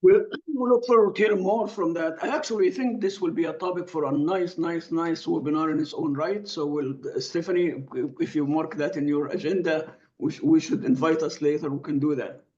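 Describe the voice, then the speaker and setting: A man's voice: on the high side, darkish tone, muffled recording. A man moderating a webinar panel over a video call, losing his voice and stammering repeats. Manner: quick interjections, stammered repeats.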